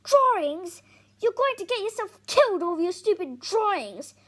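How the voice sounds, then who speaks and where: A woman voicing an angry, scornful father who shouts harshly at his son in a read-aloud story. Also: very high voice, very animated, moderate pace, voice pushed up, heavily acted. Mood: furious.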